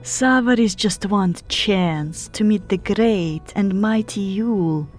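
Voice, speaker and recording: A woman's voice: mid-range voice, slow pace. A woman voicing a flirtatious, short-tempered harpy queen for an audiobook, purring in broken English. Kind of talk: fan audiobook character acting. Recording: background music under the voice.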